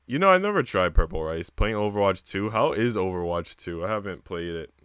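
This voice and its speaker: A man's voice: low voice, expressive, a little fast, a bit bright, smooth, no echo, clean studio sound. A man livestreaming in casual chat, talking in a slangy, profane, bro-ish way. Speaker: streamer. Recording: music behind the voice.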